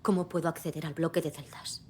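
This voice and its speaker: Woman acting as determined female investigator, deep register, direct and resolute, video game drama, slight background noise. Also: steady tone, fast, natural voice. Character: determined female investigator, a protective, grieving mother. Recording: faint background rumble and noise.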